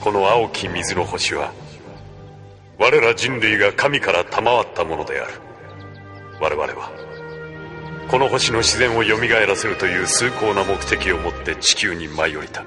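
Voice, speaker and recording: Military coup leader male actor: low, solemn, authoritative anime radio drama delivery, music underneath. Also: unhurried, pauses often, natural voice. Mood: solemn, rousing. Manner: solemn, formal, authoritative oratory. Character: commanding male military colonel, grandiose coup leader, composed. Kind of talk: anime audio drama acting.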